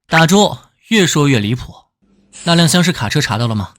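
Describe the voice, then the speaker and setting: A man playing an earnest young police detective in an animated drama, serious and brooding. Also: fairly high pitch, brisk. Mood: earnest, focused.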